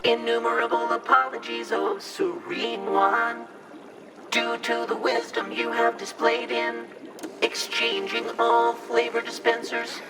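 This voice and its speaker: An actor voicing an obsequious ship's computer in an audio drama, with flowery, deferential and measured phrasing. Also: mid-range voice, monotone, over loud music, lightly acted, low-quality recording. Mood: apologetic.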